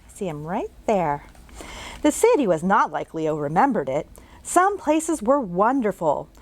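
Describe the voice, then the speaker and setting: Lively woman reading a picture book aloud. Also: medium pitch, measured pace. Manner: animated, talks to young listeners with questions. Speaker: children's librarian.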